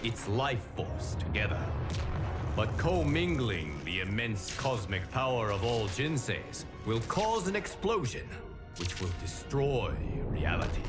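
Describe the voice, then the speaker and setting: An actor voicing a stern guardian of timelines, narrating a video game cutscene in a solemn, formal, measured manner. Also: male voice, fairly low pitch. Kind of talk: dramatic scripted narration. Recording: studio voice under loud music. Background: loud cinematic music.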